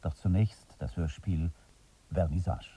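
A male radio announcer delivers a scripted introduction. His voice is very low and husky, with a very dark tone. It sounds slightly away from the microphone. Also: a little slow, distant mic.

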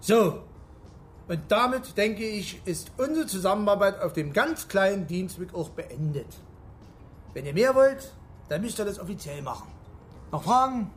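Officious small-town cop boss, pretty high voice, brusque, radio play, faint noise. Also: male voice, big pitch swings, unhurried. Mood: brusque, dismissive. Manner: brusque, bureaucratic. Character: defensive, officious male village police chief. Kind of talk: radio drama acting.

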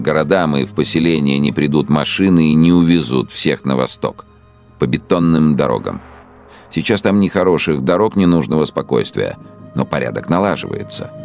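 An actor playing a cold, imperious ideologue in a radio drama monologue, speaking with deliberate, commanding delivery. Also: male voice, very deep voice. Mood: coolly satisfied. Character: cold, imperious ideologue musing on ruling conquered people. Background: faint music.

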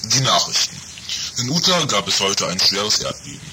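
A formal news announcer played by a man with a fairly low voice. He reads a mock bulletin in a radio comedy. The sound is noisy. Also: big pitch swings, moderate pace. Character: formal male radio newsreader in a parody.

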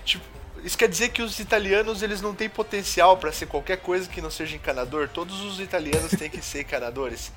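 A man's voice: sits high, fast, few pauses, a bit bright, soft background music. A man with a Brazilian accent bantering in casual slang as a jokey show co-host. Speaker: podcast co-host.

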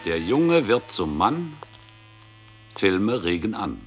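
A man's voice: lowish voice, big pitch swings, slow pace, faint music behind. An actor playing an ironic male narrator who recites mocking rhymes in a declamatory sing-song for a radio play. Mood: ironic reciting.

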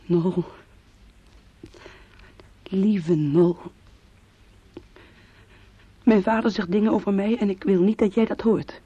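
A woman's voice: mid-range voice, takes it slowly, pauses often, dark tone, slightly rough. An actress in a radio play, playing an anguished young woman who speaks in an intimate, imploring way of love and fear. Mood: tender, sorrowful. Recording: dull old radio play recording.

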